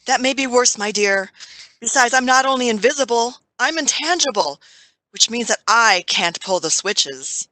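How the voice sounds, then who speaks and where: A woman's voice: fairly high pitch, animated, pushed and bright, dry recording, low-quality recording. A woman playing an imperious, fussy old man, a grandfatherly Doctor, in an audio drama. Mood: testy. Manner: commanding, fussy, deliberate.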